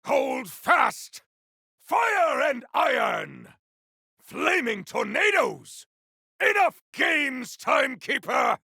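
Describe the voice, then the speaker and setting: Gravelly, grandiose warrior. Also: male voice, very high voice, sing-song, extremely slow, no echo, studio quality, exaggerated voice. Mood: battle fury. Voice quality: gravelly, forceful. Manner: theatrical, declamatory, formal. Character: proud, battle-hungry warrior with grandiose, formal speech. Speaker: video game voice actor. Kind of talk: video game combat barks.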